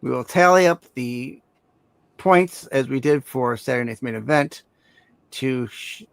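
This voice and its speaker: A man chatting casually on a wrestling fan panel in a halting, easygoing way, often repeating himself. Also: medium pitch, vocal fry, clear, resonant tone, no echo. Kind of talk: casual chat.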